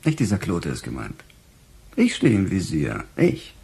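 An actor playing a bitter, blunt police chief in a radio crime drama, insistent and gravelly. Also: male voice, sits low, wildly swooping pitch, unhurried, a little mellow, heavily acted.

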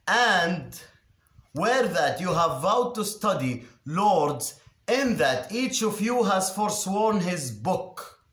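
A man reciting Shakespearean verse theatrically, declamatory and deliberate, with dramatic pauses and emphatic swells. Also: on the high side, fairly bright tone. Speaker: actor reciting a Shakespeare monologue. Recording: roomy, echoing space.

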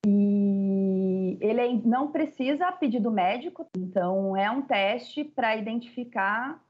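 A woman in her thirties with a Brazilian accent, explaining haltingly in an interview over a video call. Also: medium pitch, highs cut off. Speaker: pharmacy professional interviewee. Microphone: video call with earphones.

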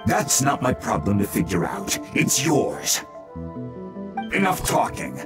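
An actor playing a menacing, gloating samurai bandit boss in a video game, taunting and blustering. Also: male voice, lowish voice, wildly swooping pitch, slow, over music, exaggerated voice. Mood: threatening. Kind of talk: video game character acting.

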